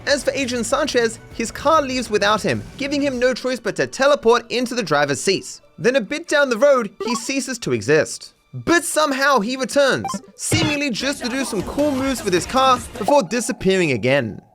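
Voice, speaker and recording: A man's voice: extremely high pitch, very animated, moderate pace, few pauses, a bit bright. A man delivering scripted, comedic game commentary with a sardonic, mock-dramatic manner. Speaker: video host and narrator. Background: background music.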